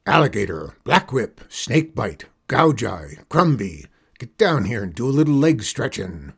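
A man acts out a bullying cowboy gang boss for a Western storybook. The voice is husky and growling, and the delivery is a drawling, folksy bark of command. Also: fairly low pitch, big pitch swings, normal speed, exaggerated voice. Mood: amused, commanding. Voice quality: growling, rough.

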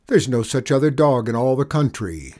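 A man voicing a stubborn, quick-tempered old woodsman in a gruff, emphatic tone. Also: mid-range voice, expressive, a little mellow, pitched above the performer's own voice, lightly acted. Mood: defiant.

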